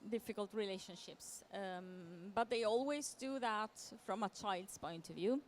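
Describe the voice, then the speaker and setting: A woman giving a semi-improvised conference presentation as a literary translator, halting and often repeating words as she searches for phrasing. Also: mid-range voice. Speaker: literary translator, panel speaker. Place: live talk at a book fair event. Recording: clear background noise.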